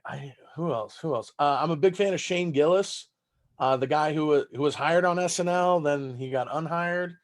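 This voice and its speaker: Rambling, laid-back man in his thirties. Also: mid-range voice. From Texas. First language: German. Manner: rambling, loose, swears casually. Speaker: stand-up comedian guest. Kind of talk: casual podcast interview.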